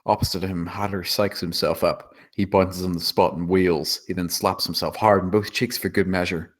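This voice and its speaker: A man with a deep voice reads screenplay action aloud. He serves as narrator, setting scenes and describing what characters do in scripted prose. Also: fairly even intonation, moderate pace. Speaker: stage-direction narrator.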